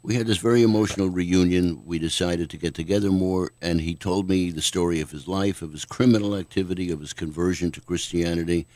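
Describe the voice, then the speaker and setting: An older man, a guest author, answering questions in a measured, thoughtful way on a radio talk show. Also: deep voice, fairly even intonation, a little mellow, no room sound. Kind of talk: radio interview.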